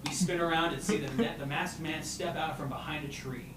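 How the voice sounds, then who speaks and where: A man's voice: a little high, fairly even intonation, brisk. A man reading stage directions aloud at a comedy script table read, prone to breaking into laughter. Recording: noisy echoey room, far from mic.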